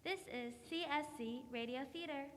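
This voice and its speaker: A woman's voice: high voice, moderate pace, natural voice. A woman announcing a scripted, old-style radio commercial. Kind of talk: 1940s radio commercial reading. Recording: echoey hall, faint noise.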